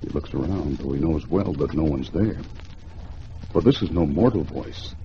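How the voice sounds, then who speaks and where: A man narrating a 1950s radio thriller in a theatrical, dramatic way, with deliberate pauses. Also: rumbling low, animated, dark tone. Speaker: radio storyteller. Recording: dull, faintly noisy old radio recording.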